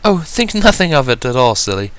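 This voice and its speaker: A man's voice: mid-range voice, very animated, a bit bright, clear, resonant tone, no room sound, voice pushed up. A man voicing an elegant, genteel female pony dressmaker with refined, affected speech in a story reading. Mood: poised. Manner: refined, affected, drawn-out emphasis.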